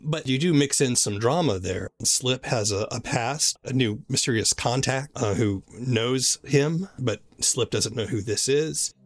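A man's voice: medium pitch, measured pace, few pauses. A man conducting an interview, asking questions in a conversational style.

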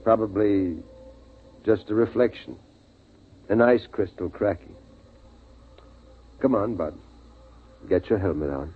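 A middle-aged American man playing a calm, protective, practical father in a 1950s radio drama, speaking with reassuring authority in a husky voice. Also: fairly low pitch, slow pace, pauses often, slight background noise. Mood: calm, puzzled. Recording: narrow-band 1950s radio recording.

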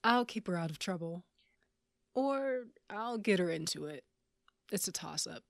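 A fairly low female voice, recorded very dry, an actress playing an irreverent rogue in an audio drama. She is loyal and frank, with a casual, wry way of talking. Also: lively intonation, unhurried, vocal fry, no room sound. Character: irreverent, loyal rule-breaking sister, wry sci-fi rogue.

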